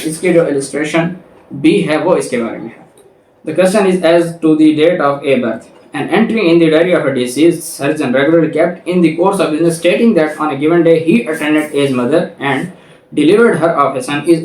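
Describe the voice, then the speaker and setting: A man's voice: a little high, a little mellow, a little hiss or hum. Male Pakistani law lecturer, methodical explainer, heard in a very echoey room at some distance from the mic. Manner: methodical, didactic, explains with everyday examples. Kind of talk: explanatory lecture, reading statute clauses aloud then explaining.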